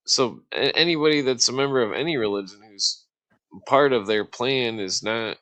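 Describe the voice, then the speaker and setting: A man's voice: medium pitch, normal speed, a bit bright, no echo, low-quality recording. A man debating on a casual livestream in an insistent, explanatory way, pushing to be allowed to finish his point. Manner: insistent, explanatory, repeatedly asking to be let finish.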